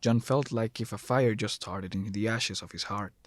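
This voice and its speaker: Low-voiced man narrating an audio drama. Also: moderate pace, no room sound, studio quality. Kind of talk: scripted dramatic story narration.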